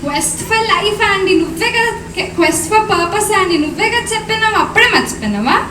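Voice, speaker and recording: A woman's voice: extremely high pitch, expressive, normal speed. A woman voicing an earnest, puzzled big sister puppet in a live puppet show, asking polite, ponderous questions. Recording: echoey, distant, noisy hall.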